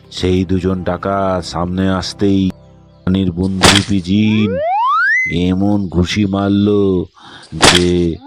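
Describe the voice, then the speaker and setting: A man narrating a cartoon ghost story in a steady, measured way. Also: low voice.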